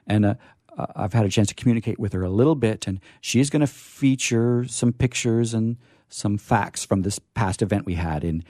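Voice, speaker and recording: A man's voice: fairly low pitch, moderate pace, mellow, no room sound, clean studio sound. A man hosting a radio show with chatty, rambling talk and a playfully theatrical manner.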